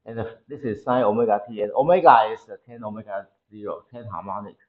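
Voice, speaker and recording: Halting male lecturer with a Taiwanese accent. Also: fairly low pitch, phone-quality sound. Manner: halting, repeats phrases as he explains. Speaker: university lecturer. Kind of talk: classroom engineering lecture. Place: indoor classroom.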